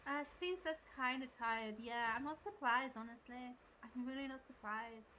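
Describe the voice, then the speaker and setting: A woman's voice: fairly high pitch, moderate pace, heavy background noise. A woman streamer giving casual commentary and reading lines aloud during a video game live stream.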